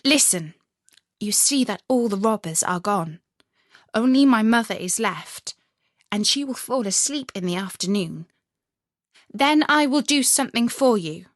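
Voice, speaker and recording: Casting: woman to play a little robber girl in a storybook, blunt, commanding, fierce yet kindly, slow delivery, dry sound. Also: fairly high pitch, lively intonation, no echo. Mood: bossy, brisk.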